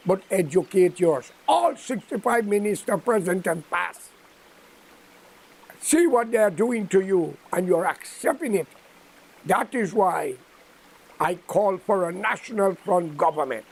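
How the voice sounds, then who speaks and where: A man's voice: high voice, creaky, a little mellow, rough recording. An older man with a Guyanese accent giving an impromptu political address in a preachy, admonishing, emphatic manner. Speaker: political commentator.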